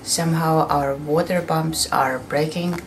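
A young woman chatting cheerfully in a slow, relaxed way for a sailing vlog, filmed indoors on a handheld camera. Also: deep voice, gravelly, background noise. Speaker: sailing vlogger. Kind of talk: casual vlog chat. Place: indoors, cabin and rented bedroom.

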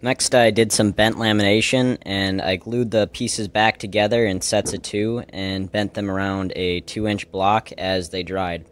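A man's voice: fairly low pitch, fairly even intonation, unhurried, hardly any pauses, clear, resonant tone. A man narrating a scripted woodworking how-to in a plain, matter-of-fact, step-by-step way. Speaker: woodworker, video host.

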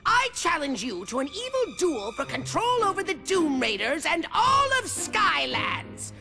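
Pompous little villain, animated game: nasal, theatrical, drawn-out delivery with a gravelly creak over music. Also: male voice, extremely high pitch, exaggerated voice. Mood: outraged.

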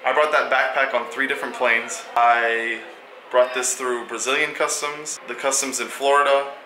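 A man's voice: mid-range voice, moderate pace. Young guy vlogging at arm's length, joking about himself, echoey room with music going. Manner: wry, self-mocking, animated. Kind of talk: casual vlog chat. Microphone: handheld camera at arm's length.

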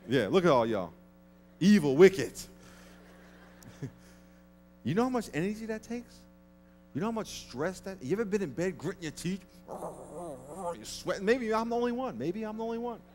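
A man in his thirties preaching a sermon on a large church stage into a headset mic, lively and acting out people's grudges. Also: high-pitched, moderate pace, pauses often, slight background noise. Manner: animated, acts out petty grudges in a mocking voice. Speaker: pastor.

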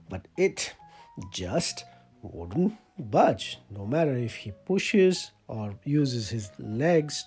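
A storyteller reading a picture book aloud to children in an expressive, playful way with dramatic emphasis. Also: medium pitch, breathy edge. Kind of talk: animated picture-book read-aloud for kids.

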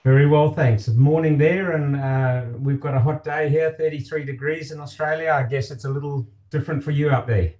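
Zimbabwe-born guy in his 50s, mellow tone, chatting on a video call, lots of ums. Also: a little high, normal speed, hardly any pauses. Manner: relaxed storytelling, many fillers. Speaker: guest, company director. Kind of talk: casual interview. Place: home office, indoors.

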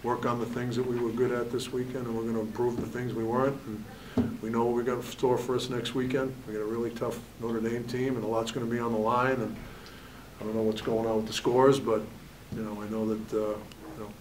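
A man's voice: mid-range voice, little pitch movement, a little fast, recorded from a distance, slight background noise, rough recording. A man in his forties, a head hockey coach, answering questions candidly at a postgame press conference and often saying "you know". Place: press room at a backdrop. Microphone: table mic, at a distance.

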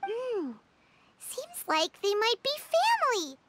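A woman voicing a tiny, excitable, childlike fairy companion in a video game, squeaky and easily flustered. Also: takes it slowly, noisy background, exaggerated voice. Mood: curious. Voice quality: squeaky, shrill. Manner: flustered, exclaiming, speaks of self in third person.

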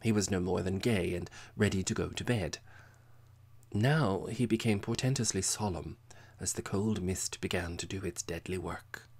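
Low-voiced man narrating an audiobook. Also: moderate pace, darkish tone, slightly rough. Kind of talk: scripted literary narration.

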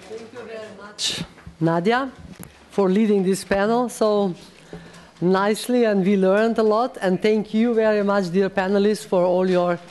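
A woman's voice: mid-range voice, fairly even intonation, a little slow, pauses often, a little mellow, a little hiss or hum. A woman host thanking the moderator and panelists at the close of a session. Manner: gracious, thanking. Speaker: event host.

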